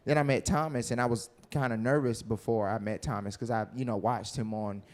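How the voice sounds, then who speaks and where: A young man, an actor in a casual interview, joking playfully and telling stories in an animated way. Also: mid-range voice, fairly quick. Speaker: film actor being interviewed.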